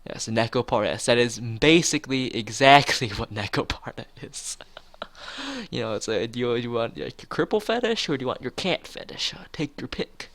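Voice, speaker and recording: A man's voice: mid-range voice, lively intonation, normal speed, a bit bright, no room sound. A man streaming a visual novel, reading the narration aloud and chatting casually with joking asides to viewers.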